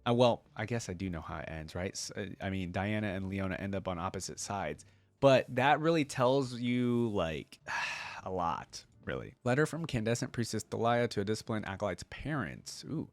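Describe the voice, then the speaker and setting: A man's voice: lowish voice, expressive, normal speed, no echo. A man hosting a reaction video, speaking in informal slang and reading text aloud with casual commentary.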